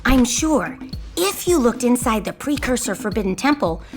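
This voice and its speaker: Sassy young mechanic girl for a video game, super animated, music behind. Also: on the high side, normal speed. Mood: earnest, instructive. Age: young adult. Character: plucky young female mechanic, brisk and sassy.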